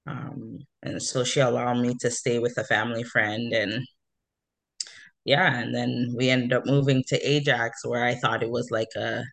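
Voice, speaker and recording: A woman's voice: rumbling low, steady tone, moderate pace, creaky, low-quality recording. A woman from Toronto, a hairstylist chatting as an interview guest, with many fillers and easy laughs. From Toronto, Canada. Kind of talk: casual interview chat.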